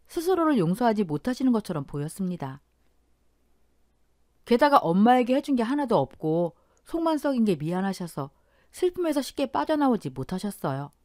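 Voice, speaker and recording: A woman narrating a family story in radio-drama style, calm and heartfelt. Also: mid-range voice, no room sound, clean studio sound.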